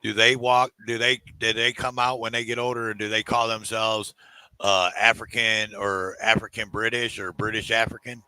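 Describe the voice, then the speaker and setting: Bright, blunt man. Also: fairly low pitch, level tone, moderate pace, clean tone, no room sound, rough recording. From United States. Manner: blunt, joking. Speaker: guest. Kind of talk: casual chat.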